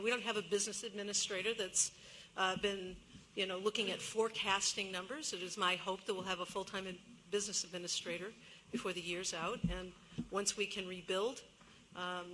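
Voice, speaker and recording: A woman speaking at a public meeting hall, explaining figures in a measured, careful way and often pausing on fillers. Her tone is bright and forward. A light hum of room noise runs behind her. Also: medium pitch. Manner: measured, with many fillers and restarts. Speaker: school administrator answering questions.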